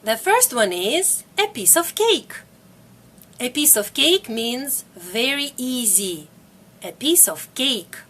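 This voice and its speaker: A middle-aged woman teaches English to learners. Her voice sits a little high and rises and falls a great deal. She speaks slowly and repeats each phrase clearly. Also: very animated, fairly bright tone. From Russia. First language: Russian. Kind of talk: slow, careful video lesson.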